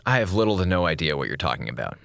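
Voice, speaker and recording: A man's voice: deep voice, big pitch swings, rapid-fire, gravelly, fairly bright tone, no room sound, heavily acted. An actor playing a wisecracking, sarcastic male communications officer who rambles and jokes through his log. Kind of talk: audio drama log narration.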